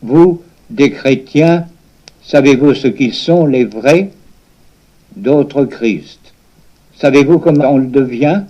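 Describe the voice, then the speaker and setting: A man recites sacred poetry in a solemn, sermon-like style. His delivery is very slow and declamatory, each phrase deliberately set apart and pressed on the listener. The pitch sits in a medium male register, and the recording is somewhat dull and lo-fi. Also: warm tone. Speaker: reader reciting a religious poem.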